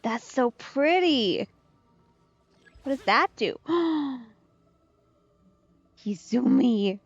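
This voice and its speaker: A woman's voice: high voice, animated, very slow, breathy edge, dry recording, highs cut off. A woman game streamer giving casual, playful let's play commentary, reacting and thinking aloud. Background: faint game music.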